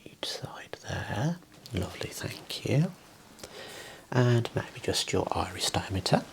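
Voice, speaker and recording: A man whispering slow, gentle instructions in an ASMR medical exam role play, softly praising between steps. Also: medium pitch, big pitch swings. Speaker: ASMR creator playing a clinician.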